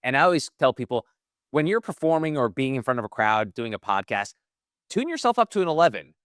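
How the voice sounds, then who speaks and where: A male podcast host talks in a relaxed, conversational way and often uses fillers as he asks questions. His voice is at a middle pitch and sounds dry and close on the microphone. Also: brisk, no echo. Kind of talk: casual interview chat.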